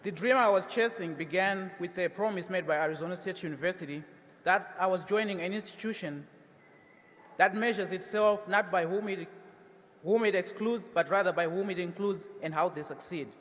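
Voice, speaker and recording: High-voiced young Zimbabwean man in a reverberant hall. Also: moderate pace, a bit bright. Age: young adult. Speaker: student commencement speaker. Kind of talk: prepared graduation speech. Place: large ceremony hall, at a podium.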